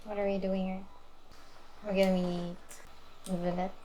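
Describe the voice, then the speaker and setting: A woman game streamer reacts casually as she plays. Her voice is mellow and stays quite flat, with faint game noise behind it. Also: medium pitch, moderate pace, low-quality recording. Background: video game audio.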